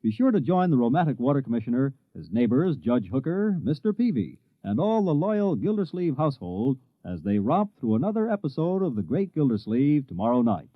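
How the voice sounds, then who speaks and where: Animated male vintage radio announcer. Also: medium pitch, fairly quick, few pauses, no room sound. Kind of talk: scripted 1950s radio promo. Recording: dull, dry old radio recording.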